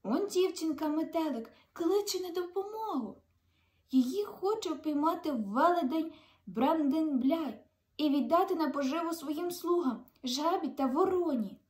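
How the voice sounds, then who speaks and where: A woman's voice: high-pitched, animated, a little slow, darkish tone, voice pushed up. A young woman voicing an eager, friendly flying horse from a storybook, exclaiming urgently.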